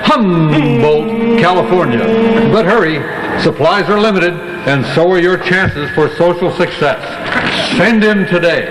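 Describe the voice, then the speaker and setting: Casting: male vintage radio pitchman, higher-set voice, theatrical salesman patter, live in a reverberant noisy hall. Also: unhurried. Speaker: radio show announcer. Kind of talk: 1940s radio announcer pitch, mock commercial. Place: large hall, live stage show. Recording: echoey hall, clear background noise.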